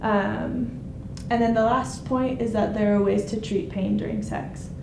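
A young woman giving a casual conference talk, pausing often with ums. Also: on the high side, fairly even intonation, gravelly. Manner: hesitant, many ums. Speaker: conference speaker. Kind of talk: informal presentation. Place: conference room with projector screen. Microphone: handheld mic. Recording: echoey room, noisy.

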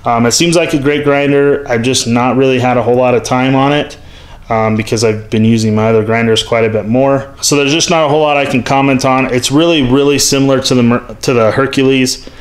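Male tool reviewer, casual voice-over, mid pitch, rambly and candid. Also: steady tone, brisk. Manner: rambling, frank, lots of ums and restarts. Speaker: workshop YouTuber reviewing tools. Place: metal-walled home workshop.

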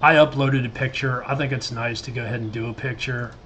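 A middle-aged American man giving a casual, explanatory screen-share software tutorial. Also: medium pitch, fairly even intonation, a little fast, a little hiss or hum. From United States. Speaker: tutorial presenter. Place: indoors at a computer desk. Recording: loud guitar music behind the voice. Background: loud guitar music.

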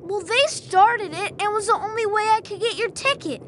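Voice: very high voice, moderate pace, bright, forceful tone, a little hiss or hum. An actor playing a brave, reassuring young boy in a video game, speaking in an earnest, encouraging way. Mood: determined. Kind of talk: video game cutscene acting.

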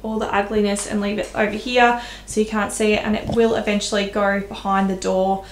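A woman's voice: medium pitch, level tone, a little fast. A woman, giving a casual, candid tour of her small laundry room as a home decor vlogger, filmed on a handheld camera. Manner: chatty, candid, self-deprecating. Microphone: handheld camera at close range. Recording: echoey small room, faint room hum.

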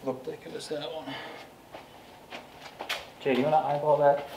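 Man thinking out loud in a roomy garage. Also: on the high side, flat delivery, normal speed. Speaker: garage host. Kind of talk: casual chat while working. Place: garage workshop. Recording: roomy, distant mic, clear background noise.

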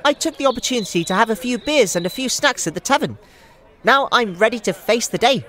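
A man's voice: extremely high pitch, lively intonation, fairly quick, fairly bright tone, voice pushed up. A man voicing a cheerful, hearty male hobbit pony-keeper in an audio drama, jolly and easygoing.

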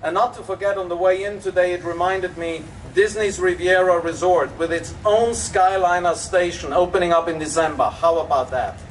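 A man's voice: sits high, steady tone, moderate pace, hardly any pauses. Enthusiastic Austrian-accented man at an outdoor dedication. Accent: Austrian German. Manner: enthusiastic, emphatic, with frequent fillers. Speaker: resort executive giving a dedication speech. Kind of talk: public dedication speech. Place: outdoors at a public event. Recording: distant outdoor recording with faint background noise.

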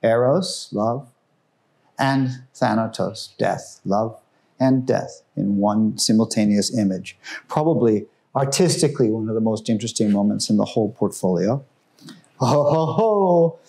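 A man giving an informal, enthusiastic talk about artworks in a museum room, growing hushed and solemn at the tragic pieces. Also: on the low side, lively intonation, a little slow, vocal fry. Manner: enthusiastic, conversational, drops his voice for solemn works. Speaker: museum guide presenting artworks. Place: museum gallery room, indoors.

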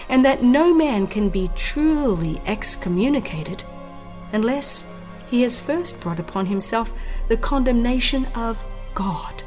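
A woman's voice: a little high, darkish tone. Woman narrating a dramatic audiobook over music. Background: background music.

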